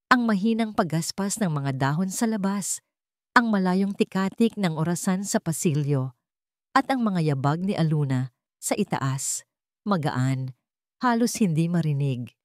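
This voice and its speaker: A woman narrating a dramatic story in a measured, suspenseful way, as scripted audio fiction. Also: on the low side, dry recording, clean studio sound.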